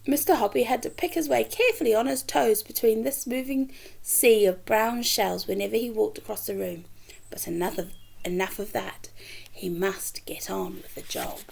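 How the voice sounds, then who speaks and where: A woman's voice: fairly high pitch, normal speed, creaky. A woman reading a children's story aloud like a teacher, expressively, with chatty asides to her young listeners. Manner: animated, acts out dialogue, chatty asides.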